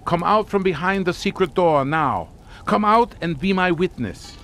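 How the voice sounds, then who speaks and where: An actor playing a menacing, scornful older Hungarian count in a radio suspense drama, speaking in a formal, taunting, theatrical manner. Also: male voice, high-pitched, expressive, normal speed, a bit bright, slight background noise. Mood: urgent.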